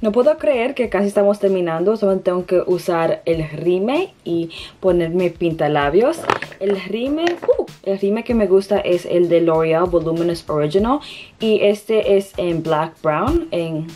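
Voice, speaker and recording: A woman's voice: on the low side, lively intonation, measured pace, warm tone. A young woman from the Dominican Republic with a Dominican Spanish accent, chatting through a makeup tutorial at home, hesitant and searching for words. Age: young adult. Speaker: beauty vlogger. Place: bedroom, indoors.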